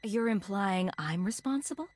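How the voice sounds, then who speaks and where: A woman's voice: medium pitch, animated, normal speed, no echo, close to an everyday voice. An actress playing a cool, self-assured female undercover agent in a video game. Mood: questioning. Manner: cool, confident.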